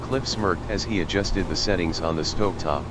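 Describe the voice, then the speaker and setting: A man's voice: low voice, normal speed, very noisy. A man narrating a story from a script, reading out names, dialogue and description. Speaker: narrator reading visual novel text aloud. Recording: loud music behind the voice. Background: loud music.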